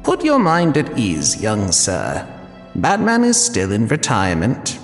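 A man's voice: on the low side, wildly swooping pitch, measured pace, heavily acted. An actor playing a devoted older male butler in an audio drama, formal, courteous and drily witty. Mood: reassuring. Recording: long reverb, faint music behind.

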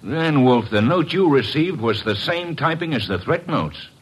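Husky, impatient police inspector. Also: male voice, medium pitch, animated, moderate pace. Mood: impatient, skeptical. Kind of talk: 1940s-50s American radio detective acting.

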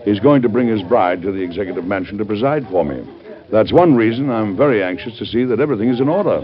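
An actor playing a newly inaugurated American president, courteous, earnest and formal, in a vintage radio drama. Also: male voice, lowish voice, expressive, quick pace, close to an everyday voice. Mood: earnest, conversational. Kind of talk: 1950s radio drama acting. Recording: muffled vintage radio recording.

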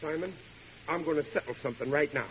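A man's voice: fairly high pitch, fast, lightly acted. An actor playing a blustering, dim-witted working-class family father in a 1940s radio sitcom, indignant and folksy with ungrammatical speech. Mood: stern, angry. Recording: hissy vintage radio broadcast, dull.

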